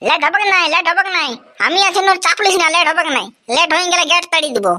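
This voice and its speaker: Woman voicing a very squeaky, cocky village schoolboy. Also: very fast, sharp tone. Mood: breezy, hurried. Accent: Purulia Bengali. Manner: boastful, slangy, bickering. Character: cocky, mischievous village schoolboy, brash and carefree. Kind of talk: comic cartoon dialogue.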